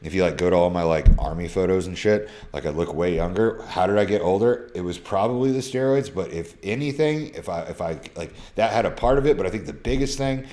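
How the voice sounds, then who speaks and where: A deep-voiced man in his thirties, talking loose and slangy with plenty of swearing. He reads out viewer messages on a live stream from his phone and answers them one after another, sometimes breaking into sudden loud bursts. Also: a little fast, hardly any pauses. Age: 30s. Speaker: social media creator. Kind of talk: casual live stream, reading DMs aloud.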